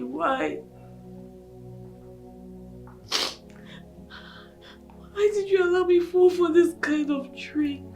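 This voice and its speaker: A woman's voice: very high-pitched, fairly bright tone, heavily acted. A young woman acting in a film drama as a bitter, tearful newlywed wife who feels betrayed. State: crying, tearful. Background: music behind the voice.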